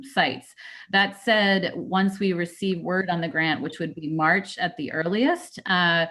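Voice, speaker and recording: A woman's voice: fairly low pitch, fairly bright tone. Hesitant woman giving a meeting report. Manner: halting, with frequent fillers and restarts. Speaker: executive director giving a report. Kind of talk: formal board meeting report.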